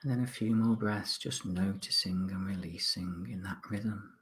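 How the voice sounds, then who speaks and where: A man with a fairly low voice guides a body scan meditation as a mindfulness instructor. He gives polite instructions in short phrases, leaving pauses between them. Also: steady tone, slight background noise.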